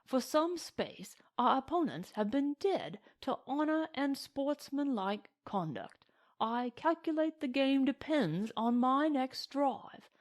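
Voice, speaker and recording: A sardonic Western cowboy as a woman narrator puts him on, raised a little in pitch. He is folksy and mock-courteous, with sly menace under the politeness, in storybook style. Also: animated, slow. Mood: mock-polite menace.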